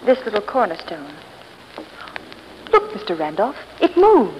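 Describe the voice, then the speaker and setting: A woman's voice: mid-range voice, huge pitch swings, a little slow, highs cut off. An actress playing a frightened but spirited lady in a 1930s radio thriller, earnest and melodramatic. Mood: earnest, curious. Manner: earnest, breathless melodramatic alarm. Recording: hissy old 1930s radio recording.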